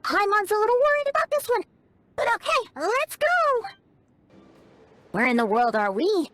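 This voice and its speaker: A woman voicing a tiny, excitable, childlike fairy companion in a video game, with a squeaky voice and naive, exclamatory manner. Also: moderate pace, heavily acted. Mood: worried, puzzled. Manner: exclamatory, naive, refers to self in third person. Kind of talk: animated video game voice acting.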